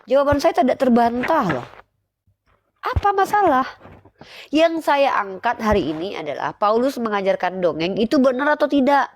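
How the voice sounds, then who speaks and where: High-pitched insistent woman debating. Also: very animated, moderate pace. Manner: insistent, argumentative, repeats questions. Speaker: online religious debate host. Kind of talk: live informal religious debate.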